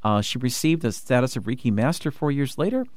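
A man hosting a radio talk show from the studio, talking in an excited rush with many restarts and fillers. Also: mid-range voice, brisk, dry recording. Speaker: radio host.

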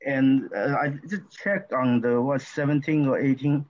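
A man from Taiwan with a Taiwanese accent, a guest talking in a halting, rambling way with lots of fillers and restarts. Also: medium pitch. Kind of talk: casual interview talk. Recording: dull lo-fi audio.

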